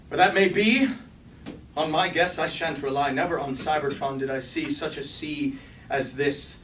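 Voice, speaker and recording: Casting: male actor for a loyal robot soldier, high voice, theatrical stage verse, recorded from a distance in a roomy space. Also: moderate pace, a bit bright, lightly acted. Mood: wondering, uneasy. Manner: theatrical, declamatory, archaic formal diction. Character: loyal robot warrior lieutenant, proud, battle-weary, devoted to his king. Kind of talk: staged reading of mock-classical verse tragedy. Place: indoor room at a staged reading. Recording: roomy, distant mic, faint noise.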